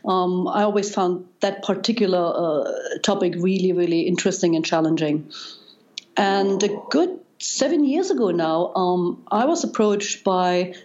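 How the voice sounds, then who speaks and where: A woman's voice: lowish voice, animated, unhurried, darkish tone. German woman guest, 40s, reflective and hesitant, muffled audio. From East Germany. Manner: thoughtful, many ums and restarts. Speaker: guest, data scientist. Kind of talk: interview answers.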